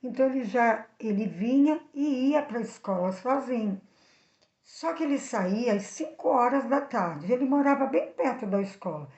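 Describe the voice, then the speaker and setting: A woman with a Brazilian accent telling a moral story for children in expressive narration. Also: a little high, moderate pace. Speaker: children's storyteller and channel host. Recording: echoey room, slightly dull sound.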